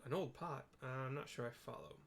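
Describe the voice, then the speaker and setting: A man's voice: medium pitch, brisk, vocal fry, slight background noise, lightly acted. A man voicing a polite but firm gate guard in a video game, speaking in a courteous, official way.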